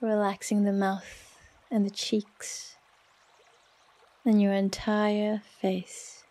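A woman guides a meditation in a soft, soothing voice with a dark, mellow tone. Her delivery is very even and barely varies in pitch. She takes her time, speaking slowly and leaving long pauses between phrases. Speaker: yoga nidra meditation guide.

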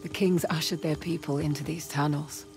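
A woman's voice: low-pitched, fairly even intonation, normal speed, gravelly, over loud music. An actress playing a wry, guarded woman treasure hunter in an action-adventure game, with a casual, blunt way of talking. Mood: wry, intrigued. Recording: rain and ambient noise behind. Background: rain and game ambience.

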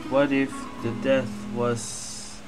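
A man's voice: mid-range voice, level tone, drawn-out words, poor audio. A man giving casual playthrough commentary as a gaming streamer, musing aloud. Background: game music.

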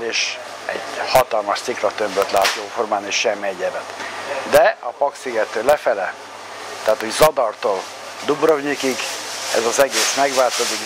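A man in his sixties giving sailing advice at home, explaining in a measured way with pauses and fillers. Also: on the low side, background noise. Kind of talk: casual advice talk to camera. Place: living room at home, indoors.

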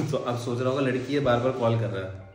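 A man's voice: medium pitch, a little fast, husky. A man in his twenties chatting casually, as if on a phone call. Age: 20s. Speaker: vlogger pulling a prank. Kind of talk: casual chat and phone talk. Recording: faint noise behind voice.

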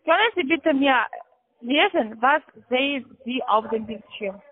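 A woman works as a call-centre agent. She gives insistent instructions in halting, phrasing. The sound is a muffled, lo-fi telephone line. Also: high voice, lively intonation, husky. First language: not German. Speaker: call-centre phone scammer. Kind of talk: pushy scripted phone instructions. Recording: dull lo-fi phone line.

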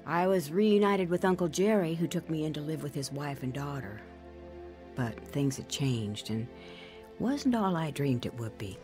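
A woman's voice: deep voice, lively intonation, normal speed, over music. An actress playing a woman soberly recounting her painful youth, narrating a dramatized testimony. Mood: reflective. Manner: reflective, sober.

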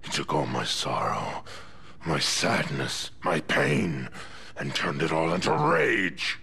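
A man playing a huge, brooding beast prince in a game, with a husky growl and a heavy, anguished manner. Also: a bass voice, big pitch swings, takes it slowly, bright, forceful tone, a little hiss or hum, heavily acted. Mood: remorseful.

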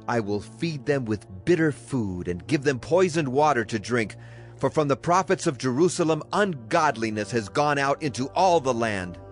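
A man with a mid-pitched voice narrates the Bible in a dramatized style. His delivery is solemn and declamatory, with music playing behind him. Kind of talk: dramatized scripture reading.

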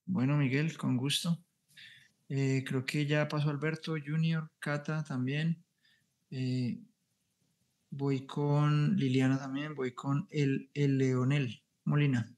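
A man's voice: mid-range voice, flat delivery, slow, a little mellow. A man teaching an online class, answering student questions in an upbeat, reassuring and direct way. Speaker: trading instructor.

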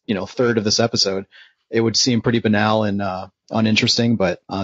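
A man's voice: on the low side, a bit bright, dry recording, highs cut off. A man co-hosting a casual panel discussion about old-time radio, talking as an enthusiastic fan. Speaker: podcast co-host.

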